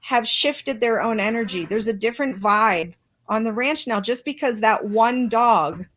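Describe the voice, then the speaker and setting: A woman's voice: on the high side, measured pace, a bit bright. A woman guest in an interview, speaking earnestly and reflectively with many restarts. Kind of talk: interview conversation.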